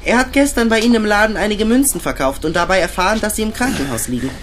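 A man's voice: high voice, lively intonation, quick pace, a bit bright, slight background noise. A young-sounding actor playing a curious, polite boy detective in a radio play. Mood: earnest.

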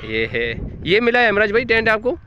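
A man's voice: high voice, big pitch swings, a little fast, muffled recording. A man in his thirties from Pakistan, hosting a casual village vlog, chatting and asking many short questions into a phone held at arm's length outdoors. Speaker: vlogger. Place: open dusty village ground outdoors. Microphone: phone camera held at arm's length. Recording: loud wind and outdoor noise.

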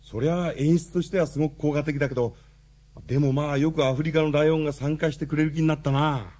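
A man's voice: on the high side, fairly even intonation, quick pace, a little mellow, natural voice. An actor playing a stern but patient revolutionary chairman, briefing his team formally and with authority in a radio drama. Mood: impressed. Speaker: leader giving a briefing.

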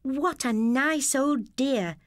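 A woman's voice: high voice, slow pace, no room sound, studio quality, voice pushed up. A woman voicing a tender-hearted, wistful young English girl in a storybook tale. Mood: fond, sad. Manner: wistful, expressive.

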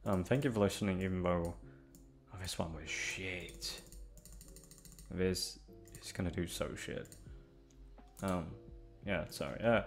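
A man's voice: deep voice, unhurried, long pauses, soft background music. A man chatting casually and hesitantly to his listeners, rambling along in a self-deprecating, sweary way. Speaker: audio content creator.

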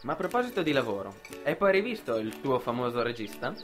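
A young man plays a wry best friend who teases and banters sarcastically. His voice is mid-range, in amateur radio play style, with clear music behind it. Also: mid-range voice, sing-song, fast, natural voice. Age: young adult. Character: wry, teasing male best friend, Famo. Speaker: student actor. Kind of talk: amateur radio drama acting.

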